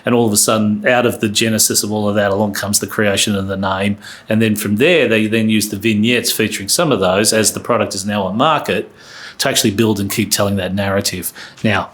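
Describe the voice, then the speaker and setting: Aussie guy on a podcast, lowish voice, explains stuff really earnestly. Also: steady tone, fairly quick, a bit bright. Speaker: podcast guest, company employee. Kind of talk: conversational podcast interview.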